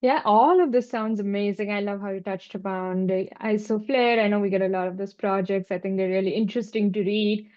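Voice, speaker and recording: A woman with a soft, dark tone, heard through slightly muffled, lo-fi audio. She interviews in a chatty, conversational way, affirming the answers before leading into her next question. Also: mid-range voice, steady tone, brisk, few pauses.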